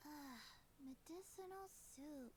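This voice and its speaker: A woman voicing a small, sleepy undead girl in a video game, halting and deadpan. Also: sits high, background noise. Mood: flat, reluctant. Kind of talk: video game character dialogue.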